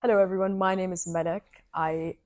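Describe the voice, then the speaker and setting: Young woman, from saudi arabia, candidate, deep for a woman, dry close mic. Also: little pitch movement, brisk, no echo. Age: young adult. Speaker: election candidate. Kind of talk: prepared campaign statement.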